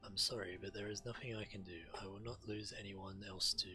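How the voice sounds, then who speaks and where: A man's voice: fairly low pitch, steady tone, a little fast, pushed and bright, soft background music. A man voicing a weary, withdrawn walrus-folk chieftain in a fantasy game, resigned and dismissive. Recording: background game noise.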